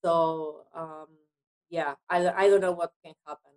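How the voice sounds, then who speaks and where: A woman's voice: low voice, fairly even intonation, a little slow, smooth, low-quality recording. A woman from Argentina, a native Spanish speaker with an Argentinian accent, talking conversationally as an interview guest about her work as an actress.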